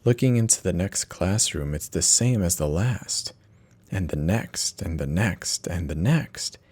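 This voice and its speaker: Low-pitched man narrating horror, slow and dramatic. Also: lively intonation, darkish tone. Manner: theatrical, measured, intense delivery. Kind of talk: dramatic first-person horror reading.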